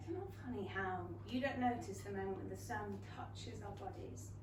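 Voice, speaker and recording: Woman acting outspoken young Regency gentlewoman, echoey stage. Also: mid-range voice, moderate pace, natural voice. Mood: tender, reflective. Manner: outspoken, teasing. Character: bold, free-thinking, irreverent young Regency gentlewoman. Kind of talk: staged play reading, Regency comedy. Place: small theatre stage. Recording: distant mic, echoey room, loud background noise.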